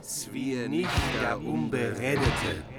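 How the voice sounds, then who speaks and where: A man solemnly recites archaic verse at a slow pace. His voice is mid-pitched, with guitar music playing loudly along with it.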